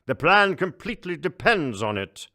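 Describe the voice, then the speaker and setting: A man's voice: on the high side, very animated, moderate pace, bright, forceful tone, pitched above the performer's own voice, exaggerated voice. A man voicing a haughty, scheming alien emperor in an audiobook, imperious and condescending, grandiose with jovial menace. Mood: grandly assured. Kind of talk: audiobook character voice.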